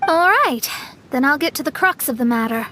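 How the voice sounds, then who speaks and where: High-voiced proud young astrologer girl. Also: animated, a little fast, background noise. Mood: businesslike. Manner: haughty, flustered. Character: proud, easily embarrassed young female genius astrologer. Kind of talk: English game dub acting.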